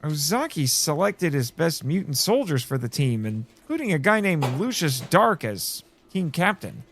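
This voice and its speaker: A man with a theatrical, excitable delivery, acting and narrating a scripted first-person drama. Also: fairly high pitch, a little slow, a little hiss or hum. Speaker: host and narrator of a fiction podcast.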